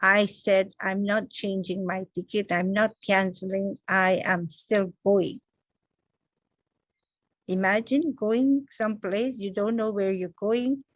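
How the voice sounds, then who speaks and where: Slow, measured woman teacher. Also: mid-range voice, steady tone, no echo. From Guyana. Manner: measured, emphatic, lists words one by one. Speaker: meditation teacher. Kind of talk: inspirational spiritual talk.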